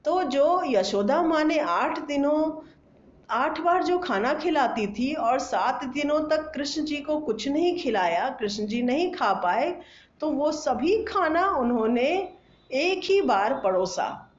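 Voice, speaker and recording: High-pitched, animated woman storyteller in echoey room. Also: slow pace, a little hiss or hum. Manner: instructive, asks listeners questions. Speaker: storyteller for children. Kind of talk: children's bedtime storytelling. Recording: echoey room, slightly dull sound.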